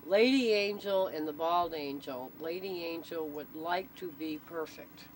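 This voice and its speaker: A woman's voice: deep voice, unhurried. A woman explaining things in casual storytelling, matter-of-fact and checking that the listener follows. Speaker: interviewee, local storyteller. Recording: noisy amateur recording with vehicle ambience.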